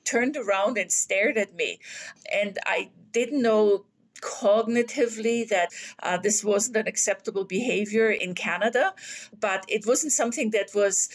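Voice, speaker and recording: A woman. Her voice is mid-pitched, and she talks as a guest academic, reflecting conversationally on her own experience. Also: a little slow. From Bavaria, Germany. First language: German. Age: 50s. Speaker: guest speaker, academic dean. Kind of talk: reflective personal account in conversational talk.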